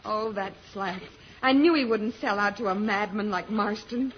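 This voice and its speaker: A woman's voice: mid-range voice, normal speed, close to an everyday voice. An actress playing a plucky, loyal young Earth woman in an old-time radio serial. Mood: hopeful. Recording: muffled old radio recording, faint noise.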